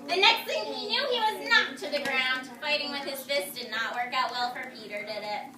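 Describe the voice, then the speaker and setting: Female teacher doing story time for little kids, very high voice, expressive and encouraging, captured far from the mic. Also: fairly quick, a crowd in the background. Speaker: teacher reading a picture book aloud. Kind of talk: animated read-aloud to young children, with questions and asides. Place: indoor room with a group of children. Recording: distant, echoey, noisy room capture.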